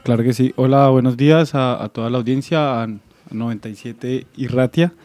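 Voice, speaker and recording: A young man from Bogotá with a Colombian accent, explaining as an interview guest in a halting way, searching for words. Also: medium pitch, darkish tone. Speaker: interview guest, student activist. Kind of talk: explanatory radio interview answer.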